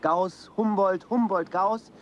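A man's voice: high voice, fairly even intonation, takes it slowly, muffled recording, slight background noise. An actor playing a resentful young man, the son of a famous father, in a radio play, sounding wry and complaining. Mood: bitter.

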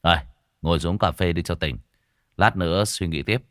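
A man's voice: sits low, moderate pace, fairly bright tone, no room sound, clean studio sound. A man voicing an easygoing, reassuring boss who talks familiarly to a younger colleague in an audiobook. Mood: calm, reassuring.

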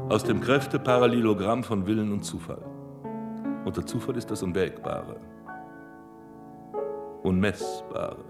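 Man playing an imperious intellectual over loud music. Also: lowish voice, natural voice. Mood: lofty, intent. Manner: declamatory, deliberate. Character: man lecturing philosophically on gambling, intellectual, imperious. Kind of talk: German radio drama acting.

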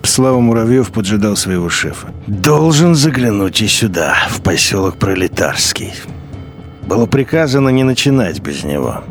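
Man narrating a war novel, tense and expressive, faint music behind. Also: lowish voice, normal speed. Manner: tense, expressive, rising and sinking emphasis. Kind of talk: dramatic literary narration.

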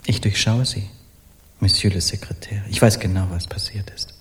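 An actor playing a brazen, eloquent Parisian artist in a German radio crime play, theatrical and mocking in manner. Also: male voice, on the low side, fairly even intonation, normal speed, close to an everyday voice. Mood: defiant, accusing.

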